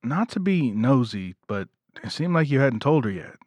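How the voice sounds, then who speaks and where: An actor in an audio drama playing a kind, considerate brother, tactful and earnest. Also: male voice, mid-range voice, very animated, moderate pace, a little mellow, no echo. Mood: earnest, supportive. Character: kind, considerate brother, newly acquainted with his sister.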